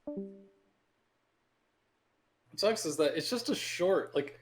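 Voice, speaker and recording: A young man with a rough, husky edge and a slightly raised pitch talks on a group voice call. His style is loose, joking chat among friends. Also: lively intonation, slow, faint music behind. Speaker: friend in a group script read.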